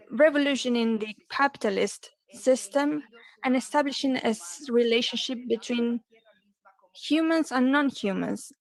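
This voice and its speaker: A woman giving live interpretation of a panel discussion, speaking in halting phrases with pauses and self-corrections. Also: a little high, poor audio. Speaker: simultaneous interpreter.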